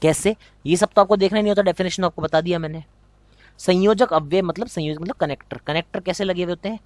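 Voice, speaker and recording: Rajasthani man teaching, brisk and repetitive. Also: sits high, no room sound. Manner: explains by repeating key points, asks students rhetorical questions. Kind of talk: exam prep lesson.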